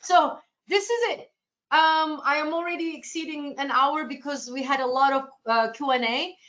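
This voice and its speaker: Woman from Turkey, fairly high voice, dull lo-fi webinar sound, helpful and reassuring. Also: normal speed, fairly bright tone. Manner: restarts often, reassuring and accommodating. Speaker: cruise sales presenter. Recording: lo-fi webinar audio.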